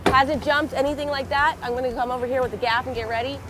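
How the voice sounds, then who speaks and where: A woman's voice: high-pitched, quick pace, background noise. Nasal woman fishing vlogger on a boat. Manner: upbeat, excited when a fish hits. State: slightly nasal from a head cold. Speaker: fishing channel host. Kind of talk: casual vlog narration on a boat. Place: outdoors on a fishing boat offshore.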